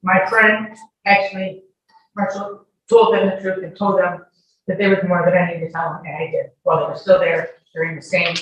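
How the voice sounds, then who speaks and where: A woman's voice: lowish voice, level tone, moderate pace, highs cut off. A woman giving courtroom testimony as a witness, answering questions in an emphatic, defensive way. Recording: echoey, distant courtroom audio with faint noise.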